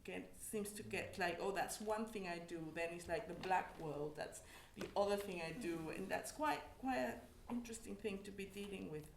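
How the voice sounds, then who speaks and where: A woman's voice: mid-range voice, vocal fry, slight background noise. A woman from Mexico, an academic on a panel, speaking reflectively with frequent restarts. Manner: reflective, halting, many restarts. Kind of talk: personal testimony on a panel. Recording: echoey room.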